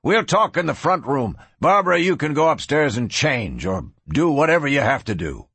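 A man's voice: on the high side, very animated, normal speed, a bit bright, slightly rough, dry recording, exaggerated voice. A man voicing an arrogant, domineering cattle baron in a Western storybook, explosive and sneering. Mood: stern, impatient. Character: arrogant, hot-tempered Western cattle baron, bullying older brother. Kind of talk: dramatised audiobook reading.

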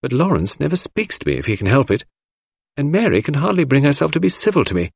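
A man voicing a wistful, teasing young orphaned woman in an audiobook reading, lifting his husky voice into a lilting girlish tone. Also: fairly high pitch, sing-song, fast, dry recording, pitched above the performer's own voice, full character voice. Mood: plaintive.

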